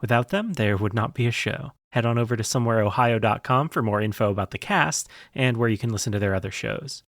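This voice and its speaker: A man delivers podcast credits and notes at an ordinary middle pitch. The recording is dry and close, and his delivery is informal and friendly, plainly scripted. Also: quick pace, no room sound. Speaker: podcast creator.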